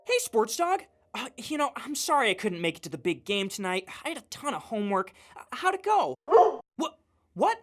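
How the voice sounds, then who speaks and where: High, animated, awkward college student. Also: female voice, moderate pace. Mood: upbeat, sheepish, then shocked. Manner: stammering, exclaiming. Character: earnest, awkward young college student, sympathetic best friend.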